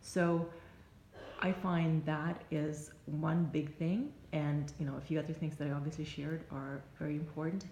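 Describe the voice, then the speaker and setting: A native Russian-speaking woman with a Russian accent, giving reflective advice to camera in a halting, thoughtful way with pauses mid-sentence. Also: deep voice, flat delivery, a little mellow, highs cut off. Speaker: life coach and vlogger. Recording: roomy echo, faint background noise.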